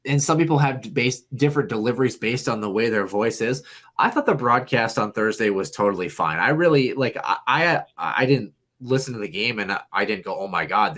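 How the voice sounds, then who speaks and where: Nasal, whiny-voiced man talking sports. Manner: rambling, animated, jumps in pitch. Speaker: podcast co-host. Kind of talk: casual sports chat.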